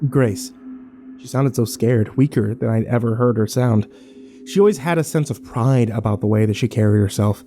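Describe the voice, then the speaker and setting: A man's voice: mid-range voice, moderate pace, warm tone, faint music behind, lightly acted. A man playing a troubled, wary survivor who narrates his ordeal in a brooding first-person horror drama.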